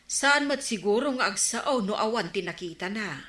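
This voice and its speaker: Actress as a bright, nosy village neighbour. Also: female voice, medium pitch, lively intonation, normal speed, echoey room, lightly acted. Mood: prodding. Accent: Ilocano. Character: nosy village woman egging on a neighbour's suspicion. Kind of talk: Ilocano comedy radio drama acting.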